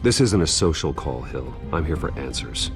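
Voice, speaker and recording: An actor playing a brooding, determined billionaire vigilante in a video game drama, speaking in a terse, controlled way. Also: male voice, extremely low pitch, big pitch swings, normal speed. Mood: stern. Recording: music behind the voice.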